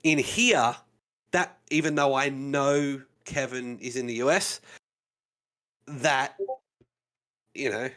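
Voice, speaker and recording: A man's voice: mid-range voice, fairly even intonation, slow pace, long pauses, bright, forceful tone. A man with an Australian accent, co-hosting a casual podcast chat and explaining his points with lots of "you know"s. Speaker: podcast co-host.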